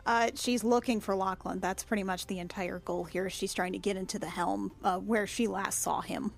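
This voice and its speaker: A woman's voice: medium pitch, steady tone, normal speed, vocal fry. A woman playing a tabletop role-playing game, chatting casually with an irreverent, sweary manner.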